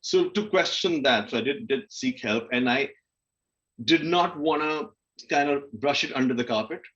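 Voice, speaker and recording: A man talks in an informal, candid way, as a guest on a panel would. His voice sits at a middling pitch and he slips into self-questioning dialogue as he explains himself. The audio is dull and lo-fi. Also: lively intonation, moderate pace, a bit bright. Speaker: guest speaker on a literary festival panel. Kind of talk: candid conversational panel talk about personal experience.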